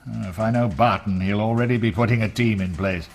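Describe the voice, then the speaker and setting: An actor playing a discreet British intelligence brigadier with a clipped, formal manner in a wartime radio drama. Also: male voice, lowish voice, fairly quick, creaky, over loud music. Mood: measured.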